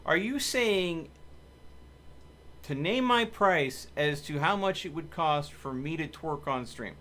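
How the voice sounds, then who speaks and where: A man's voice: on the high side, expressive, takes it slowly, fairly bright tone. A man hosting a live game stream, chatting casually and commenting on his play, with game music behind him. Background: video game music.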